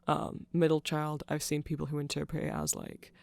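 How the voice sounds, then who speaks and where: A man's voice: on the high side, little pitch movement, normal speed, gravelly, darkish tone, no echo. A man giving a rambling ASMR explainer, often correcting himself as he goes. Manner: rambling, self-correcting, chuckling asides. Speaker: ASMR creator explaining band lore.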